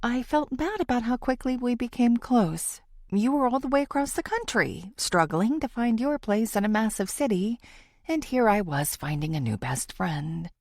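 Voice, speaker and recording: A woman's voice: fairly high pitch, animated, normal speed, darkish tone, no room sound, studio quality, pitched above the performer's own voice. A woman voicing an anxious, apologetic woman confiding in her best friend in an audiobook, hesitant and pleading.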